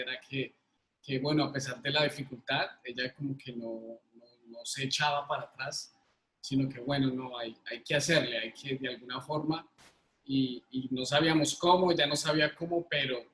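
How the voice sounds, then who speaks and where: Man in his thirties, Colombian accent, bright forceful voice, halting casual storytelling, roomy echo. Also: mid-range voice. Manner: halting, repeats words. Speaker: interview guest. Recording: roomy, echoing sound.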